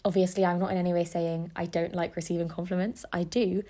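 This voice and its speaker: A woman's voice: lowish voice, flat delivery, quick pace, warm tone. Young woman hosting a podcast. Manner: earnest and personal, reading from a script. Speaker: podcast host. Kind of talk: scripted essay-like narration.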